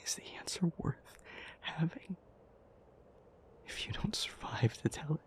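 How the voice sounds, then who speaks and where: A man playing an anguished, devoted demon lover in an intimate audio roleplay, hushed and halting, with a breathy, gravelly voice. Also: a little high, animated, whispered. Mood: terrified, pleading. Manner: halting, hushed, emotionally heavy delivery.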